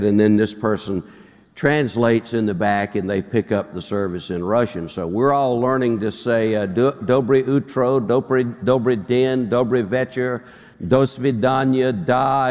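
A man's voice: fairly low pitch, a little mellow. A man with a Southern US accent preaching informally, folksy and joking, repeating phrases as he tells anecdotes. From southern United States. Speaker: pastor, guest conference preacher. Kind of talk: informal, anecdotal sermon to an audience. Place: large auditorium before a congregation. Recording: reverberant large hall.

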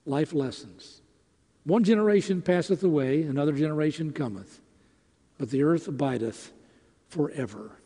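A man preaching in a deliberate, emphatic way, breaking his lines into short phrases with dramatic pauses. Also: a little high, warm tone. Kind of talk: sermon with emphatic rhetorical questions and scripture. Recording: long reverberant hall.

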